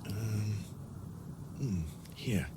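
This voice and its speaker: A man's voice: on the low side, drawn-out words. An actor playing a mild, bewildered Englishman with an English accent, plaintive and earnest, in a comic radio drama. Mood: glum, incredulous. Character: bewildered, mild-mannered Englishman stranded in time. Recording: noisy sound-effect backdrop.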